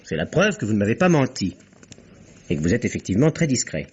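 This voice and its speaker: An actor playing a shrewd, ironic police inspector from in a vintage radio mystery. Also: male voice, fairly low pitch, very animated, husky, full character voice. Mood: ironic probing. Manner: ironic, measured, probing. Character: shrewd, sardonic male police inspector, needling suspects. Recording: dull, lo-fi old radio recording.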